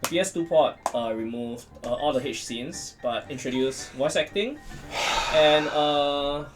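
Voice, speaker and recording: A man's voice: on the high side, unhurried, over loud music. A man as a talk show guest, chatting casually and explaining things in a hesitant but matter-of-fact way. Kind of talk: casual chat, explaining visual novels.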